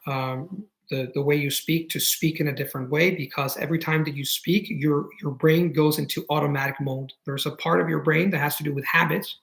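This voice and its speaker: Man, Italian accent, thirties, live stream host, eager, explains things, slightly high pitch, frequent fillers. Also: gravelly, rough recording. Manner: frequent restarts and fillers, thinking aloud; enthusiastic, explanatory. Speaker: host answering viewer questions. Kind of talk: live stream Q&A, casual and rambling.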